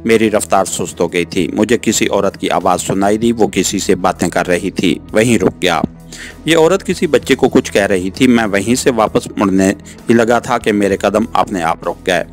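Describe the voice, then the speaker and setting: A man's voice: fairly low pitch, normal speed. A man reading a novel aloud in the first person as a scripted audiobook narration. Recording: music playing behind the voice. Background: background music.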